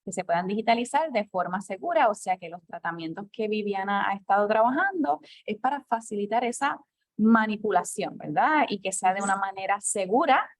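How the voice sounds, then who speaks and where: Woman, mid-pitched, measured moderator, dry close mic. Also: expressive, dry recording. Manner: measured, formal, relays audience questions. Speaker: archive host moderating Q&A. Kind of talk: moderating a lecture Q&A.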